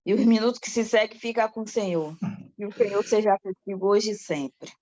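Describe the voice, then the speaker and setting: A woman's voice: medium pitch, brisk, mellow. Woman, polite prayer-group moderator, muffled video-call sound. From Salvador, Bahia, Brazil. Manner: polite, respectful. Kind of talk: formal welcome and introduction.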